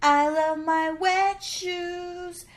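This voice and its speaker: A woman's voice: very high voice, steady tone, drawn-out words, faint music behind, pitched above the performer's own voice, heavily acted. A woman voicing a cheerful, easygoing male cat who sings simple repetitive lines in a children's storybook. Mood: happy singing. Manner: singing, repetitive and unbothered.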